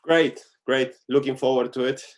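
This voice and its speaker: A man's voice: mid-range voice, steady tone, moderate pace. A man with a Venezuelan Spanish accent, a native Spanish speaker, answering interview questions earnestly. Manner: earnest, with frequent "you know" fillers. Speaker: guest.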